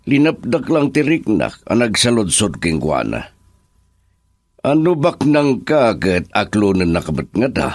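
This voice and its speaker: A man's voice: on the low side, very animated, measured pace, no room sound, full character voice. A man acting an authoritative, concerned boss in a radio drama, grave and dramatic, with an Ilocano Filipino accent. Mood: troubled, questioning. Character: authoritative, concerned male boss addressed as sir.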